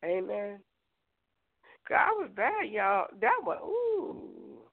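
A middle-aged woman hosting a prayer show, hoarse from a cold, chatting with listeners in a folksy, direct way. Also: mid-range voice, huge pitch swings, very slow. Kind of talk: casual prayer show chat. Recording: tinny phone line, dry.

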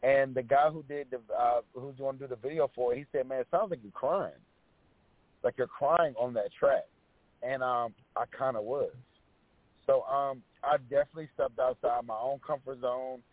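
A man with a raspy voice, a rapper guest, telling stories in a relaxed, casual way with lots of fillers. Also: medium pitch, normal speed, no echo. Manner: relaxed storytelling with many fillers. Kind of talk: casual interview chat. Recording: tinny phone-line sound.

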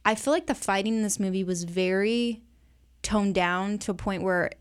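Woman in casual, medium-pitched film chat. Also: normal speed, smooth. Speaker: guest co-host.

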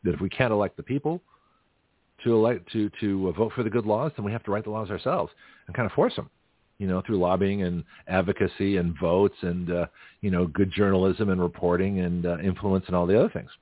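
A man's voice: deep voice, fairly even intonation, a little fast, no echo. A middle-aged man hosting a radio talk show, rambling and laughing often at his own jokes. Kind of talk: casual political call-in chat. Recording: phone-like narrow band.

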